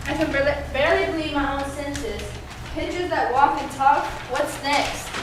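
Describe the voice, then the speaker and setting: Woman playing amazed villager reading out onstage, high voice, far from mic. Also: normal speed, lightly acted. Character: awestruck villager judging a weaving contest. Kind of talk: readers theatre stage performance. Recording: echoey room, distant mic, noisy.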